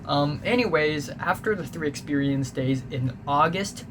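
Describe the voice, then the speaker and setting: A young man with a Hong Kong English accent rambles through a casual story, correcting himself and swearing freely. His voice sits a little high for a man. Steady background noise runs under the recording. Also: measured pace. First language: Cantonese. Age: young adult. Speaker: vlogger.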